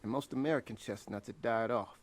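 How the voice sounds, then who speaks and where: A man's voice: mid-range voice, brisk, close to an everyday voice. A man playing a laconic mob associate in a game, speaking in a dry, terse way. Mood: dry, knowing. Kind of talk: naturalistic video game dialogue.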